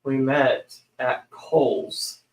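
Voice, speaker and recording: A man with a squeaky voice co-hosting a live stream, joking around and exclaiming playfully. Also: steady tone, reverberant, rough recording. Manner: playful, jokey, quick to exclaim. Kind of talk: casual live-stream chat.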